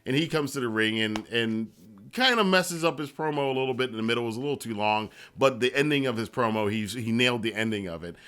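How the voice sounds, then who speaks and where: A man's voice: mid-range voice, animated, quick pace, a bit bright, clear, resonant tone. A man hosting a wrestling review, giving casual, conversational commentary with rambling asides and emphatic repetition.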